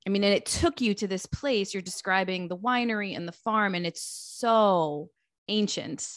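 A woman's voice: mid-range voice, normal speed, fairly bright tone, no room sound. A woman interviewing a guest, speaking with emphatic admiration. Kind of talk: interview conversation.

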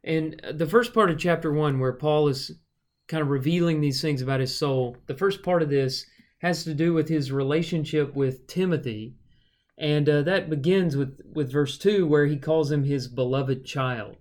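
A man's voice: a little high, moderate pace, darkish tone. A man preacher co-hosting a casual Bible study podcast, thinking aloud with fillers and restarts.

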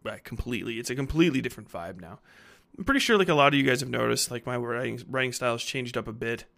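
A man's voice: medium pitch, quick pace, gravelly, fairly bright tone. A man in his thirties hosting a podcast, talking off the cuff in a rambling, blunt, slangy way with plenty of swearing. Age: 30s. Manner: rambling, heavy casual swearing. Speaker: podcast host and fiction writer. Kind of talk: casual unscripted podcast monologue.

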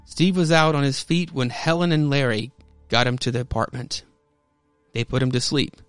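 A man's voice: mid-range voice, steady tone, moderate pace, smooth, no room sound, faint music behind. A man narrating a scripted story dramatically, as the host of a story podcast. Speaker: podcast host reading stories.

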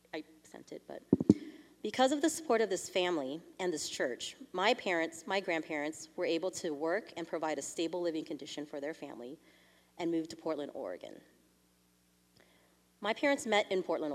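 A young adult woman from California giving a prepared, earnest testimony to a church, measured, with small restarts. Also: sits low, expressive. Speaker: church speaker sharing family story. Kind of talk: prepared testimony read aloud. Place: church sanctuary. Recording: long reverb of a church hall.

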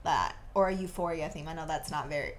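A young woman whose first language is Vietnamese, co-hosting a podcast and telling a story in a giggly, animated way. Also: sits low, fast talker. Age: young adult. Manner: giggly, animated, laughs often. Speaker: podcast co-host. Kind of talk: casual storytelling chat.

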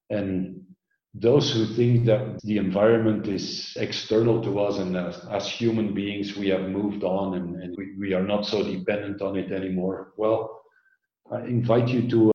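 A middle-aged man from Belgium talks through his work in a measured, explanatory way. His voice sits a little low, and small fillers creep in as he thinks. The recording sounds roomy and slightly dull. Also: a little mellow. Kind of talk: interview answers.

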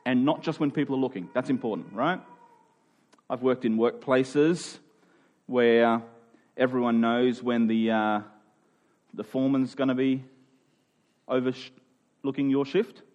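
A man's voice: medium pitch, darkish tone. A man preaching in a conversational, expository way, informal and emphatic, often checking in with his listeners.

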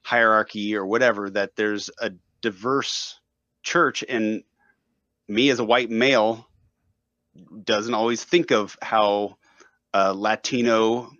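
A man's voice: fairly low pitch, steady tone, slow, a bit bright, no echo, lo-fi sound. A man speaking as a guest in a casual discussion, easygoing and thinking aloud.

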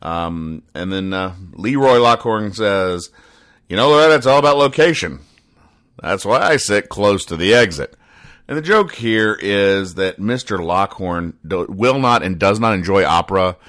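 A man with a deep, low-pitched voice hosts a comedy commentary show. He speaks in a deadpan, pedantic manner, haltingly spelling out every point in needless detail. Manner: deadpan, pedantic, over-explaining. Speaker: podcast host.

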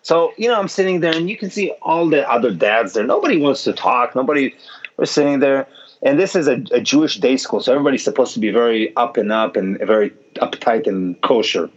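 A man from Israel telling lively stories in casual chat, restarting often and jumping up in pitch when excited. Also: fairly quick. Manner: animated storyteller, many restarts, pitch jumps when excited. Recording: dull lo-fi sound.

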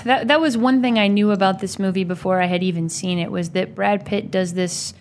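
A woman's voice: mid-range voice, steady tone, fairly quick, slight background noise. A woman in a casual, conversational film discussion as a co-host, speaking informally.

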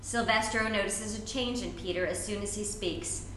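A woman's voice: mid-range voice, normal speed, fairly bright tone. A woman reading scripted stage directions as narrator at a live play reading. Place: live performance in a room. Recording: roomy live recording, faint noise.

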